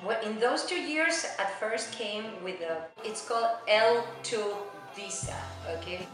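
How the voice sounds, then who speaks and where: A woman's voice: on the high side, a little slow, fairly bright tone. A middle-aged woman from Argentina with an Argentine Spanish accent, a native Spanish speaker talking chattily in a casual oral history interview, often saying "you know". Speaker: immigrant interviewee. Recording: very echoey room with music behind.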